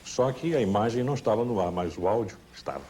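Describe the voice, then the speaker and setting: Brazilian male, casual raconteur. Mellow and conversational with tag questions, faint noise on old tape. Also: fairly low pitch, lively intonation, fast talker. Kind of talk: casual anecdote.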